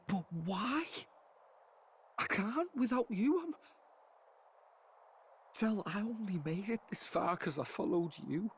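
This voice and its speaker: A man playing a grieving, rough-spoken younger brother in a video game, halting and vulnerable. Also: high voice, lively intonation, a little hiss or hum, heavily acted. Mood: pleading. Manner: halting, stammering, emotional.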